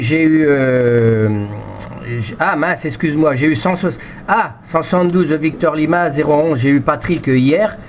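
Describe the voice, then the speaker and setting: A man chatting casually as an amateur radio operator, rambling and often repeating himself as he searches for words. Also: a little high. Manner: hesitant, repetitive, rambling delivery. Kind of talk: casual radio contact chat with ham jargon. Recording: dull lo-fi radio-station audio, faint noise.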